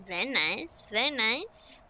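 A young woman talks her way through a building game, muttering and humming to herself as she goes. Her voice is bright and lively, rising and falling freely, and she takes her time. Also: a little high, takes it slowly, poor audio. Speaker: streamer. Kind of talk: casual gameplay commentary.

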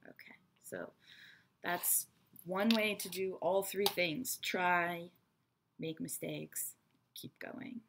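Slow-talking woman teaching English to camera. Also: on the low side, steady tone, creaky, a bit bright, a little hiss or hum. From Israel and America. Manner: chatty, frank, teacherly asides. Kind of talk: online English lesson to camera. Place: small bright room indoors. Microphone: webcam at desk.

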